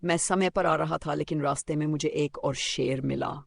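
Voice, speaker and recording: Clever little rabbit for a kids' fable, male performer: cunning, polite, highish, creaky. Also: steady tone, moderate pace, dry recording, studio quality. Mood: feigned humility.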